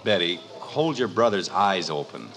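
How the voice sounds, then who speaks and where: A man's voice: deep voice, expressive, normal speed, a bit bright. A man playing an exasperated, lecturing father in an old-time radio sitcom, with a dry, put-upon manner. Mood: weary, impatient.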